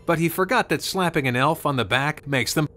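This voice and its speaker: A man's voice: on the high side, a little fast, fairly bright tone. A man reading scripted storybook narration of a Christmas tale. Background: music.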